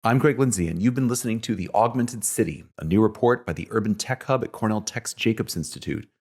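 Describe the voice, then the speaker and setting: A man hosting a scripted series, narrating in a husky voice. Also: on the low side, fast, darkish tone. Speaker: host.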